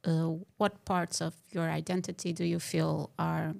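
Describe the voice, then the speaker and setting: A woman with a low, gravelly voice co-hosts a casual podcast. She asks questions haltingly, with frequent "uh"s. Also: little pitch movement, a little mellow. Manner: halting, with many "uh"s while asking.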